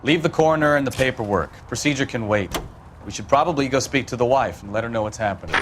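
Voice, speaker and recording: Actor as fast-talking American police detective. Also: male voice, mid-range voice, natural voice. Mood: businesslike. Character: brisk, matter-of-fact 1940s police detective. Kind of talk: video game voice acting. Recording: clear background noise of street and vehicles.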